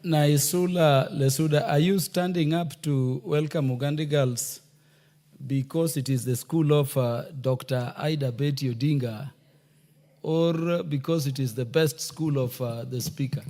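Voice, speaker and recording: A man's voice: fairly high pitch, fairly even intonation, a little slow, mellow. A man chairing a parliamentary session, calling members and questioning them dryly and sternly. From Kenya. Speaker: parliamentary speaker. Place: parliament chamber. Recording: long reverb of a large chamber.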